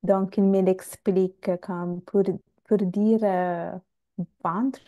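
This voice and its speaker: Young woman, Italian native, slow careful speech, mellow tone, restarts her words, video call earphone mic. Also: medium pitch, fairly even intonation, dull audio. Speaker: guest. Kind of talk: casual chat. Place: home room.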